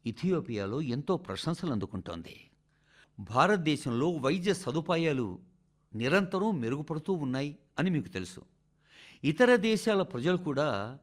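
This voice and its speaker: A man reading a scripted radio address in a formal, measured oratorical manner, speaking directly to the listeners. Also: a little high. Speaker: radio reader of a translated national address.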